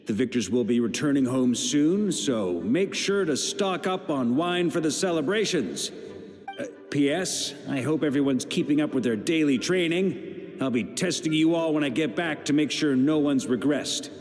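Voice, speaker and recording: An actor playing an easygoing, confident veteran knight commander in a video game, breezy and wry. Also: male voice, a little high, expressive, normal speed, a little mellow, faint music behind, close to an everyday voice. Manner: breezy, self-assured, wry.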